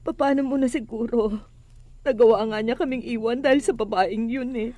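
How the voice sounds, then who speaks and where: A woman's voice: on the high side, fairly even intonation, normal speed, dark tone, dry recording, close to an everyday voice. An actress playing a despairing abandoned wife and mother, plaintive and emotional, in a religious radio drama. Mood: bitter, distraught.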